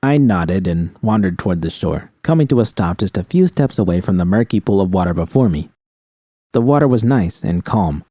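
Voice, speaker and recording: A man's voice: low-pitched, animated, normal speed, mellow, no room sound, clean studio sound. A man reading scripted first-person fiction as a narrator.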